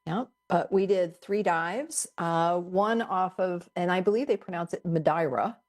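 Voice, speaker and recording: Lower-voiced woman, teasing podcast guest. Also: unhurried. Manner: teasing, good-humored. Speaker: magazine contributor, guest. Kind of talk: casual podcast chat.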